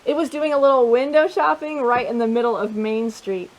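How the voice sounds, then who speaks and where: A woman with a high voice reads a children's picture book as a storytime host. She is expressive in her delivery and often steps out of the text to chat or put questions to her young listeners. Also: fairly quick, rough recording. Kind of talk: read-aloud children's storytelling with chatty asides.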